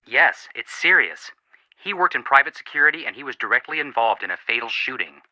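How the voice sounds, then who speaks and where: An actor playing an earnest, sympathetic young amateur detective in scripted video game dialogue. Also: male voice, mid-range voice, expressive, a little fast, sharp tone, dry recording, dull audio. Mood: concerned.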